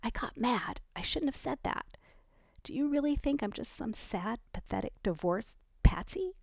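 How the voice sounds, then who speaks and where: A woman's voice: mid-range voice, sing-song, unhurried, vocal fry, no echo, clean studio sound, pitched above the performer's own voice. A woman voicing Ellie, a defensive, fiery young mother, in an audiobook reading. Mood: contrite. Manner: heated, pleading then hushed and contrite.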